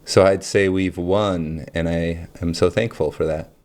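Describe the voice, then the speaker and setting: Deep-voiced man musing in a casual monologue. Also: fairly even intonation, a little slow, a little mellow. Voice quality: low voice. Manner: rambling, many fillers, laughs often. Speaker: channel host.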